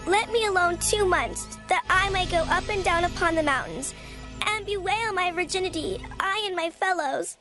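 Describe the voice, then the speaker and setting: An actress playing a devoted young daughter, meek and sorrowful, pleading earnestly in a dramatized scripture reading. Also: female voice, extremely high pitch, normal speed, music in the background.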